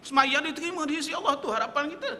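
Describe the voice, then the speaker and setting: A man's voice: very high voice, expressive, rapid-fire, pushed and bright, long reverb tail, slight background noise. A man teaching in a mosque, asking emphatic rhetorical questions and quoting Arabic texts. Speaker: religious teacher. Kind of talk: mosque lecture. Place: prayer hall of a small mosque.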